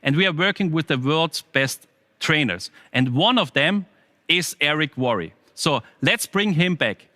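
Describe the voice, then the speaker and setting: A man's voice: on the high side, animated, unhurried, bright, forceful tone, no echo. A man hosting a company's online event, presenting in with frequent hesitations. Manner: scripted, with frequent uh fillers. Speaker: event host.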